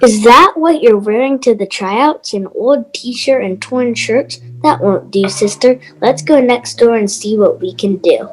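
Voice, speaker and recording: A woman reading a friendly, helpful neighbor in a fairy-tale readers theater, gentle and encouraging. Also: fairly high pitch, unhurried, few pauses, noisy background, lightly acted.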